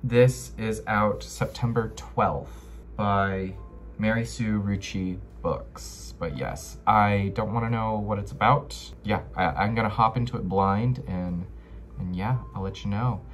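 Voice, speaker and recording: Slow-talking young American man, casual vlogger. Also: on the low side, soft background music. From Los Angeles, United States. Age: young adult. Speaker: book vlogger. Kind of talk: casual chat to camera. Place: small bedroom, indoors.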